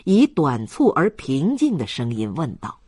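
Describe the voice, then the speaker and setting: An audiobook narrator reading a novel in a measured, expressive storytelling style. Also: medium pitch, slightly rough, no room sound, studio quality. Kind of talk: scripted novel narration, slow dramatic storytelling.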